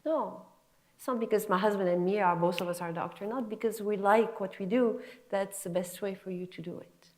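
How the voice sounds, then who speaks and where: A woman's voice: medium pitch, a little fast, a little mellow. A middle-aged woman gives a motivational lecture to students in an emphatic, conversational, encouraging way. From Lebanon and France. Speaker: physician scientist giving a guest lecture.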